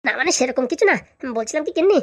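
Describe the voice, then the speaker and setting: Wheedling, penniless comedy-cartoon husband, played by a woman: hesitant, grumbling, very rapid delivery. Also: sits high, animated. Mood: hesitant, sheepish. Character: broke, wheedling husband begging his wife for money.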